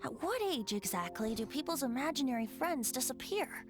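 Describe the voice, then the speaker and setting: A woman's voice: sits high, very animated, normal speed. A woman voicing a playful young male bard spirit, musing in a light airy way.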